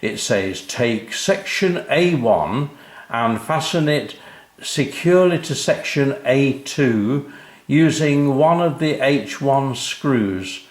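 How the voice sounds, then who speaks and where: A man's voice: medium pitch, expressive, takes it slowly, echoey room, pitched above the performer's own voice. A man voicing a harassed, easily flustered father in a children's story. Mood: muttering.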